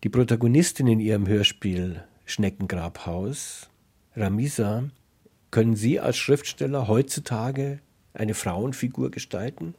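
A man with a husky voice presenting and interviewing for a cultural radio programme. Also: fairly low pitch, a little slow.

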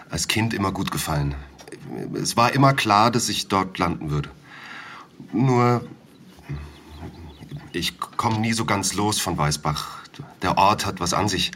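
A man's voice: sits low, a bit bright, slight background noise. An actor in his thirties playing a hesitant village man and father of two, talking with fillers and small slips. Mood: explaining. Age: 30s. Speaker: villager and witness. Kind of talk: audio drama acting.

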